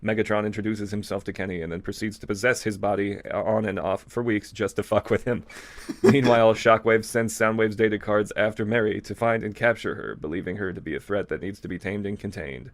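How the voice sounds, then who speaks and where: A man's voice: lowish voice, steady tone, fairly quick. A man reading fan fiction aloud as a co-host, with casual, amused, irreverent asides.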